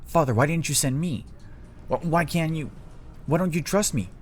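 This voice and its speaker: A man's voice: low voice, normal speed, a little mellow, a little hiss or hum, close to an everyday voice. A man voicing an unhinged, ambitious teenage princess in a fan story, with an intense, volatile delivery. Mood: shocked, pleading. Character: unhinged, ambitious teenage princess craving her father's trust.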